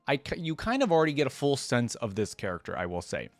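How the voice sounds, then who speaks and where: A man's voice: mid-range voice, very animated, quick pace, no echo, soft background music. A man hosting a reaction video, reading wiki text aloud and thinking aloud in an informal, curious way.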